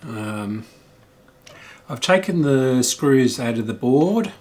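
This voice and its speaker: Slow-talking middle-aged man musing over repairs. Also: mid-range voice, lively intonation, a little mellow. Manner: hesitant thinking aloud, musing. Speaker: electronics repair hobbyist and video host. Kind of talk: casual repair commentary.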